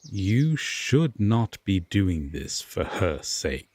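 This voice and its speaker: A man playing an aloof, stern yet secretly tender Fae king in a roleplay audio drama, speaking formally and deliberately with long pauses. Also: deep voice, very animated, darkish tone, exaggerated voice. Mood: stern, cautioning.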